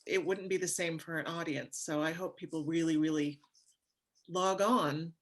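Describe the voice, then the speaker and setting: A woman giving casual, thoughtful comments in a measured way, searching for her words. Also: low-pitched, fairly even intonation. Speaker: actor in an audio drama.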